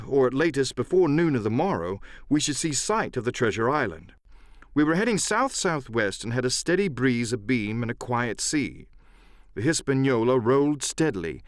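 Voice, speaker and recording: Guy reading a classic adventure audiobook, dramatic storytelling, lively pitch, dry studio sound. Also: dry recording. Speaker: audiobook narrator and voice actor.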